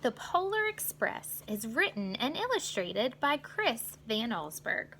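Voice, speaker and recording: A woman reading a children's picture book aloud as a school counselor, with deliberate, expressive storyteller delivery, explaining things for young listeners. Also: on the high side, slight background noise.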